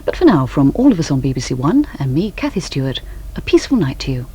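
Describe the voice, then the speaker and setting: A woman's voice: extremely low pitch, very animated, quick pace, warm tone, muffled recording, slight background noise. A British woman reading scripted late-night television continuity as the announcer, on an old VHS recording of a 1980s broadcast.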